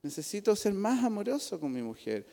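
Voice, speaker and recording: A man's voice: high voice, sing-song, fairly quick, gravelly, warm tone. A middle-aged man with a Chilean accent preaching a sermon from the pulpit, earnest and conversational, with asides to the congregation. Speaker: pastor. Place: church. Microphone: pulpit microphone. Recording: long hall reverb in church.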